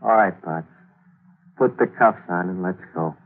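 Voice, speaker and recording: Cold young killer, husky and lowish, old radio play sound. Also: male voice, a little slow, full character voice. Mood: tense. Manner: terse, hard-edged. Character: young male murderer, clever and cold. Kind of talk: 1940s radio acting. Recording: tinny old radio broadcast.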